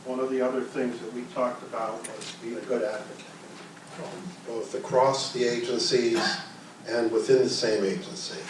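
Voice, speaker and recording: A man's voice: mid-range voice, steady tone, moderate pace. A man in the audience who works at a health center, adding points from the floor. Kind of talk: comment from the floor. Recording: very echoey room, distant mic, noise.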